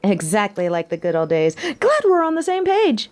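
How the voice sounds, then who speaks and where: A woman's voice: medium pitch, huge pitch swings, normal speed. A woman playing a tough, sardonic but caring young biker girlfriend in a roleplay audio drama, talking in casual slang with a teasing, wry manner. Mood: playful. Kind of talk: scripted roleplay audio drama, intimate one-sided conversation. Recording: faint background noise.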